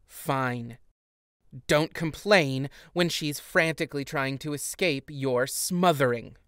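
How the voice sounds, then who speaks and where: A woman plays a sharp-tongued fairy queen in an audio drama, closely and dryly recorded. She speaks slowly, with weary sarcasm and exasperation. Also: low-pitched, no room sound, studio quality. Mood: scornful. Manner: sarcastic, cutting. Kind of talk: modern audio drama acting.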